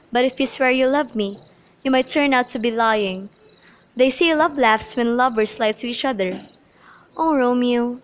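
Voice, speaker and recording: A woman's voice: fairly high pitch, natural voice. A woman playing an earnest, lovestruck young noblewoman in an amateur student radio drama of modernized Shakespeare. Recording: noisy home recording, crickets behind.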